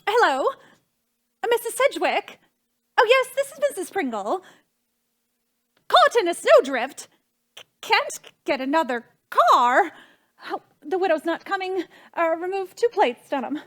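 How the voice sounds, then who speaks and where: A woman's voice: very high voice, sing-song, measured pace, pauses often, a bit bright, heavily acted. A woman playing a fretful, imperious society hostess and mother in a theatrical period stage comedy, gushing and dramatic. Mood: gushing regret. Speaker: stage actress.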